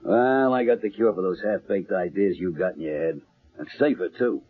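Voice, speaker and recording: A man's voice: sits low, normal speed, breathy edge. An actor playing a brash, middle-aged male vaudeville hustler with a slangy, teasing manner, in old-time radio drama style. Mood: dismissive, cautioning. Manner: slangy, teasing wise-guy delivery. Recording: thin, narrow-band old radio recording.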